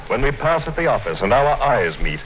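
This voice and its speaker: An actor in a 1950s radio drama, playing a calm, quietly menacing male party official. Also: mid-range voice, brisk, rough recording. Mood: measured. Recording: old radio broadcast, faint hiss.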